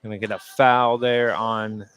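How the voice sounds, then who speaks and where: A man giving conversational color analysis during a live basketball broadcast, thinking aloud in a halting way. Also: lowish voice, level tone, fairly bright tone, smooth, lo-fi sound. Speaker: color commentator. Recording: live broadcast with faint background noise.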